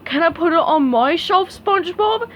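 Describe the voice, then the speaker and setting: Brief: woman playing a dopey starfish guy, slow and loud, lively pitch. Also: a little hiss or hum. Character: dim, slow, sweet male starfish.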